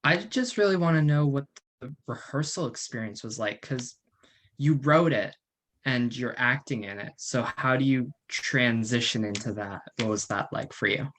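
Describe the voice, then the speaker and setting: A man's voice: medium pitch, moderate pace, a bit bright, a little hiss or hum, poor audio. A man casually asking a question from the audience over a video call.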